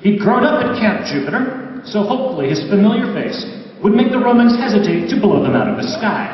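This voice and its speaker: Male author-narrator, expressive and swooping read, dull noisy far-off hall recording. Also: high voice, a little fast. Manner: animated, dramatic storytelling delivery. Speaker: author reading aloud. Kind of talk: live expressive audiobook-style narration of a story. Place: large reverberant room, recorded from a distance.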